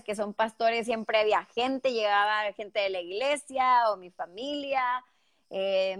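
A woman with a pronounced northern Mexican accent, speaking fairly high and lively as she tells her story. The sound is dry and close, with little room around it, coming through a headset on a video call. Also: no room sound. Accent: northern Mexican Spanish. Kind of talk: casual interview over a video call. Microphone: headphones with mic on a call.

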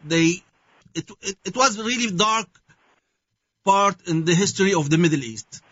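A man from Syria with a Syrian Arabic accent, answering interview questions haltingly and searching for his words with many fillers. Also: sits high, sharp tone, no room sound. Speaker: guest. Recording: dull lo-fi sound.

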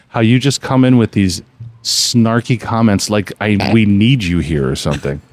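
A man's voice: on the low side, a little slow, dry recording. A man in his forties, a contractor hosting a podcast, chatting casually and laughing often. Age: 40s. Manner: laughs often, animated, teasing.

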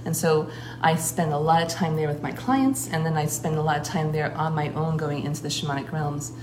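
Woman, low voice, shamanic priestess, earnest, flowing, pauses to find words, roomy sound, clear background noise. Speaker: shamanic priestess and guest speaker. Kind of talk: casual spoken account.

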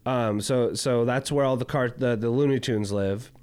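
A man's voice: mid-range voice, normal speed, darkish tone, smooth. Rambling man in his twenties. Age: 20s. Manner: rambling, heavy use of "like" fillers. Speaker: podcast co-host. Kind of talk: casual chat.

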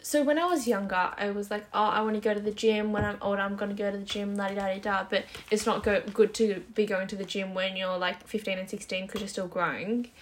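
A woman's voice: medium pitch, fairly even intonation, fast talker, few pauses, slight background noise. A woman of twenty having a casual, giggly chat with a friend as a podcast co-host.

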